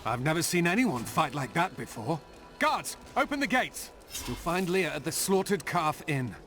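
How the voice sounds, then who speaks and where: An actor playing a battle-worn militia captain in a fantasy video game, earnest and commanding. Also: male voice, fairly high pitch, very animated, moderate pace, fairly bright tone, soft background music. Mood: urgent, commanding. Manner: urgent, commanding.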